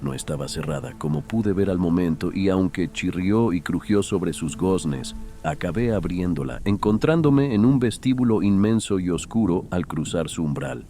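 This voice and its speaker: A man narrating a literary horror story in a solemn, measured manner. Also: deep voice, lively intonation, dark tone, soft background music. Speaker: audiobook narrator.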